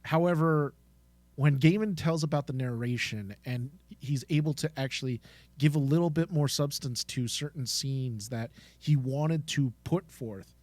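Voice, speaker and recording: A man's voice: medium pitch, a little slow, a little mellow, dry recording. A man co-hosting a podcast, speaking casually and enthusiastically. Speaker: podcast co-host.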